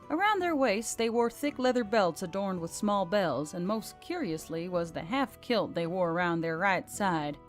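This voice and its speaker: A female narrator reads a scripted fantasy story in a medium-pitched voice. Soft background music runs beneath her reading. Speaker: audiobook narrator.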